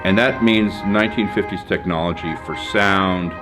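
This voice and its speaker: Man, theatre director, enthusiastic, casual, deep voice, music underneath. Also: normal speed. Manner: chatty, enthusiastic, playful. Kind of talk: casual behind-the-scenes interview.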